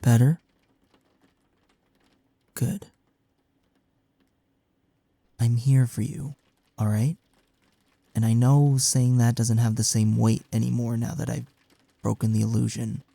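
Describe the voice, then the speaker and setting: A man plays a gentle young male best friend in an intimate audio roleplay. His voice is mellow and warm with a creaky edge, recorded very dry and close. He speaks earnestly and frankly, offering reassurance. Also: mid-range voice, flat delivery, a little slow, pauses often, no room sound. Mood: soothing, reassuring.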